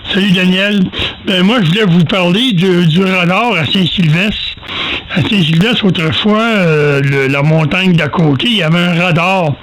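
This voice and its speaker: A man's voice: high-pitched, normal speed, fairly bright tone, rough recording. A man chatting casually over amateur radio. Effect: two-way radio transmission.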